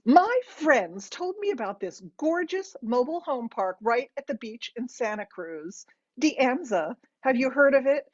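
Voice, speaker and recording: A woman's voice: sits high, sing-song, a little slow, lo-fi sound, pitched above the performer's own voice, heavily acted. A woman narrator voicing a breezy, self-absorbed eighty-year-old mother with a theatrical, insistent manner in an audiobook story. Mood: chirpy, eager.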